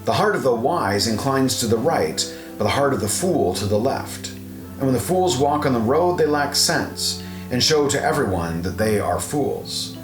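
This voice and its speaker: A man narrating an audio Bible, reading scripted scripture in a measured, solemn recitation. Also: mid-range voice, expressive. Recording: roomy echo, guitar music underneath.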